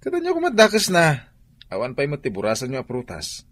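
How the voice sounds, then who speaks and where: A man acting a bossy, protective, testy employer in an Ilocano-accented radio drama, with a husky voice. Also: mid-range voice, wildly swooping pitch, fairly quick, a bit bright.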